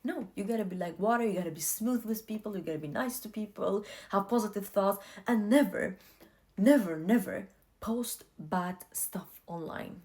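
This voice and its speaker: A woman's voice: mid-range voice, normal speed, darkish tone. Young woman vlogging life advice, english isn't her first language, chatty and earnest, repeats stuff for emphasis. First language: not English. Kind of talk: casual talk to camera.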